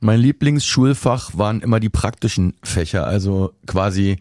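A man chatting casually as a presenter, telling stories with halting enthusiasm. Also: fairly low pitch, darkish tone, no echo, studio quality. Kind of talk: casual chat.

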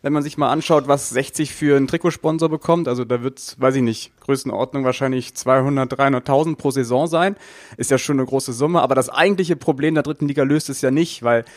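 A man in a casual football chat, giving quick, matter-of-fact analysis. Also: mid-range voice, dry recording, clean studio sound. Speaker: podcast panelist.

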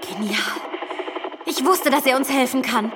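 A woman's voice: high-pitched, measured pace. A woman playing an earnest, hopeful, admiring young female aide in an audio drama. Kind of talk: dramatic audio play acting. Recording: loud vehicle noise behind.

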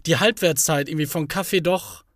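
A man's voice: a little high, a little fast, a bit bright, no room sound, clean studio sound. A man co-hosting a podcast, teasing his partner with crude jokes in a casual, insistent way. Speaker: podcast co-host.

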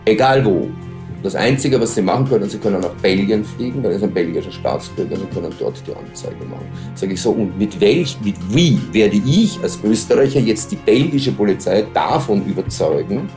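A man's voice: lowish voice, lively intonation, fairly quick, music in the background. An Austrian man with an Austrian German accent, telling agitatedly of his dealings with the police. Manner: agitated storytelling, reenacts exchanges. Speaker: interviewee, friend of victim.

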